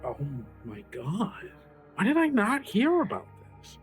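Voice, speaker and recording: Guy playing young student radio host in an audio drama, hesitant, conciliatory, pitch jumps around a lot. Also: wildly swooping pitch, measured pace, faint music behind, exaggerated voice. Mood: shaken, hesitant. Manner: hesitant, stammering, placating. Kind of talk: improvised audio drama, casual talk.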